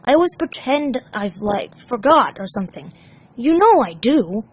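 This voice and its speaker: A woman's voice: a little high, very animated, moderate pace, slightly rough, poor audio. Woman as young male hedgehog, blunt, earnest, grumpy yet caring, story character. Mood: tender, sheepish.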